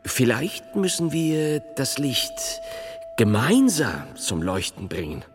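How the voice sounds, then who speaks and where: A solemn medieval theologian, wildly varying intonation. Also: male voice, medium pitch, wildly swooping pitch. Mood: reasoning. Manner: solemn, formal, deliberate. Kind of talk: radio play acting. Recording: long reverb of a tunnel, music behind.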